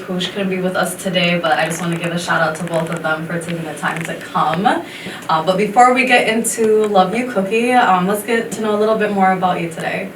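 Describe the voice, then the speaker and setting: A woman's voice: fairly low pitch, bright, forceful tone. A woman hosting a casual podcast interview, asking questions eagerly. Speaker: podcast host. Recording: roomy, distant mic with background noise.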